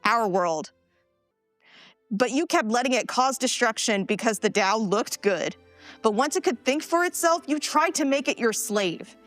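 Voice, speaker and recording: A blunt, indignant young space traveler, acted by a woman in a live sci-fi radio play. Her high voice swings widely as she challenges people in casual language, over a music bed. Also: expressive, unhurried, a bit bright, no room sound. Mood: indignant, scolding. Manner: colloquial, challenging. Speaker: actor in a live stage audio drama.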